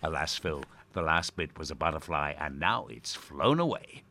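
A man playing a grandiose, theatrical radio host in a dark audio drama. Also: a bass voice, animated, normal speed, slight background noise, full character voice. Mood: pompous musing. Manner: pompous, cryptic, showmanlike. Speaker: radio presenter character.

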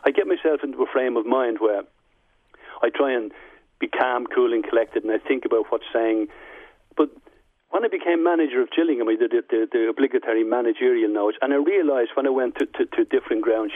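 A man in his sixties with a Dublin Irish accent, speaking as a guest in a reflective radio interview, measured and often repeating words as he restarts. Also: medium pitch, fairly even intonation, dry recording, narrow, tinny audio. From Dublin, Ireland. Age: 60s. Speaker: guest, former footballer and manager.

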